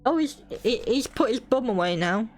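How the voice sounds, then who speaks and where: A woman's voice: on the high side, expressive, moderate pace, darkish tone, faint music behind. A British woman streamer reading a bedtime story aloud, with cheeky, playful asides.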